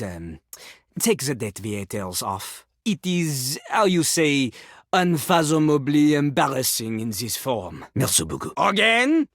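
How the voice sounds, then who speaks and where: Cocky high-voiced French rapping toilet. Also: male voice, a bit bright, dry recording, studio quality. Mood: embarrassed, put-upon. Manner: speaks of himself in third person, theatrical. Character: boastful French rapping toilet, cocky yet easily embarrassed. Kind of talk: video game character acting with freestyle rap.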